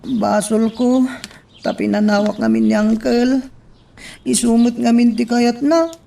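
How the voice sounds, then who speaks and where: A gravelly-voiced young maid. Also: female voice, on the high side, steady tone, measured pace, warm tone. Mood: guilty. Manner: anxious, earnest. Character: young woman maid, dutiful niece. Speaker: actor. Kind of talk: radio drama acting. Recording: distant mic, faint background noise.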